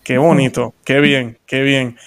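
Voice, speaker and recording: A man's voice: medium pitch, little pitch movement, a little slow. A Puerto Rican man hosting a faith interview show, talking in a rambling way with many restarts. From Puerto Rico. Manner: warm-hearted, rambling with restarts. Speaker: host.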